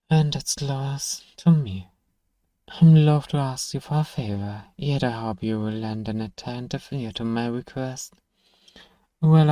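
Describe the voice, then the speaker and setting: Male voice for fantasy ASMR roleplay, gruff but kind apothecary, mid pitch, warm and relaxed, dry close recording. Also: no echo. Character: gruff but soft-hearted apothecary, reading a letter aloud, exasperated. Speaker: audio roleplay performer. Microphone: close, dry microphone.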